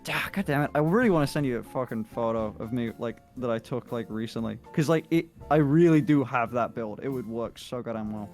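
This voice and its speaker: A man's voice: medium pitch, fairly quick, few pauses, darkish tone. A man chatting casually as a guest on a livestream, rambling with a mid-range voice, laughing often and swearing freely. Faint music plays behind him. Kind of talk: casual chat.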